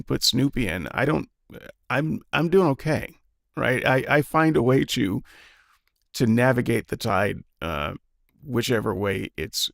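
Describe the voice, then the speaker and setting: A man in his fifties talking casually and reflectively, pausing often with fillers and restarts. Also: mid-range voice, gravelly, dry recording, clean studio sound. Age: 50s. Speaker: podcast guest. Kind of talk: casual opinion talk.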